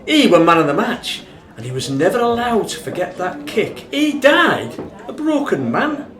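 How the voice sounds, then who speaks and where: An actor with a Northern English accent playing an impassioned rugby fan in a radio play, brooding over an old defeat. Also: male voice, sits high, very animated, moderate pace, a bit bright. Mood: indignant sorrow. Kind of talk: dramatic radio play retelling. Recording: roomy, echoing sound.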